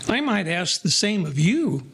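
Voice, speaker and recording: A man's voice: high voice, very animated, unhurried, fairly bright tone, slightly rough, exaggerated voice. A man playing a wry, sociable Broadway regular in a 1940s radio play, with formal, wordy phrasing.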